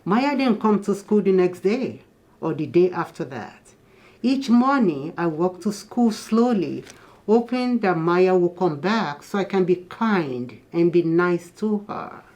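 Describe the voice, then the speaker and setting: A woman's voice: on the low side, expressive, unhurried, a little mellow. A woman reading a children's picture book aloud in a teacherly manner, explaining things to young listeners. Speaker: guest storybook reader.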